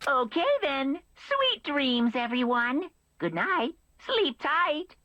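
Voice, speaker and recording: A woman's voice: high voice, big pitch swings, takes it slowly, sharp tone, dry recording, highs cut off, exaggerated voice. A woman playing a gleefully cruel robot bear headmaster in a video game, taunting in a theatrical sing-song. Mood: mocking.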